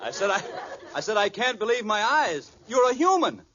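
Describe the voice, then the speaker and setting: High-pitched, excitable lost desert traveler. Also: male voice, moderate pace, a loud crowd. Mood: excited, exasperated. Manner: theatrical, earnest, exasperated. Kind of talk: 1940s radio comedy acting. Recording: dull, noisy old radio recording with audience.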